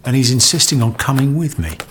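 Male actor for audio drama: touchy British agent, sardonic, blunt, slightly deep-voiced, noisy surroundings. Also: sing-song, fast, natural voice. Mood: uneasy. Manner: blunt, sardonic, grudgingly deferential. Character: touchy, cynical British secret agent guarding his boss.

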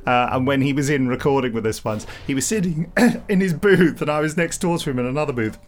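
A man's voice: mid-range voice, quick pace, faint music behind. A middle-aged man with an English accent co-hosting a podcast, rambling playfully between jokey chat and mock-grand scripted announcements. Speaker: podcast co-host.

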